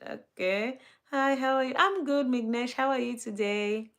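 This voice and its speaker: A woman's voice: high-pitched, a little slow, a bit bright. A young woman with a Kenyan accent hosts a casual live stream, greeting viewers by name, laughing often and using lots of fillers.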